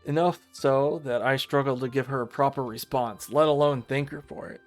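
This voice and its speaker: A male streamer reads a visual novel aloud in an average-pitched voice. He narrates the text and trips over words now and then, with game music playing behind him. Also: fairly even intonation, normal speed. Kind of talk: narration read aloud on a livestream, with stumbles and asides.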